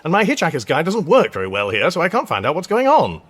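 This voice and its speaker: A man's voice: a little high, huge pitch swings, fast talker, fairly bright tone, slightly rough, heavily acted. An actor playing a flustered, polite English everyman stranded in space, British accent, hesitant and exasperated, in a radio comedy drama. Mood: bewildered.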